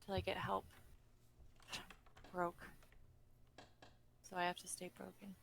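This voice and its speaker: A woman's voice: on the low side, monotone, slow pace, gravelly, slight background noise, voice pushed down. A woman playing a bitter, despairing, self-loathing young woman kidnapper in a dramatic script reading, volatile and brooding. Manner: broken muttering that snaps into shouting.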